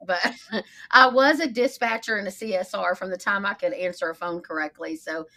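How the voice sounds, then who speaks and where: A woman's voice: mid-range voice, a little fast, pushed and bright. A middle-aged woman from East Texas with a matching accent, a podcast guest chatting casually and laughing between phrases. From East Texas, USA. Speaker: podcast guest, marketing agency leader. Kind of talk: casual conversational interview.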